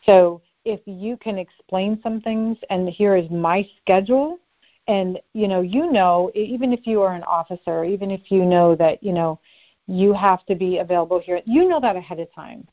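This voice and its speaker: A woman's voice: medium pitch, moderate pace. A woman being interviewed as a personal development expert, giving earnest, direct advice. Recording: slightly dull, lo-fi audio.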